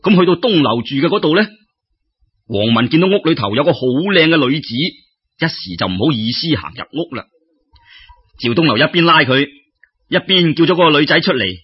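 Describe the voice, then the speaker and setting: Husky, expressive male storyteller. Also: on the high side, fairly quick, highs cut off. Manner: expressive, conversational, addresses listeners directly. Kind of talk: dramatic oral storytelling of folk tales.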